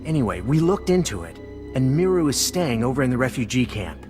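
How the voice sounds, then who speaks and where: Man acting an animated, eager young-officer type. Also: medium pitch, brisk, over music, lightly acted. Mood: earnest, eager. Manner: chatty, earnest, informal. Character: eager, friendly male lieutenant briefing a soldier. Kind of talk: video game cutscene acting.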